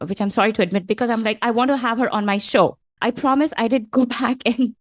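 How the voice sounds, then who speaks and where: A woman's voice: a little high, fast, no echo. A woman hosting an interview podcast, greeting her guest in an upbeat, effusive way. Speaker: podcast host.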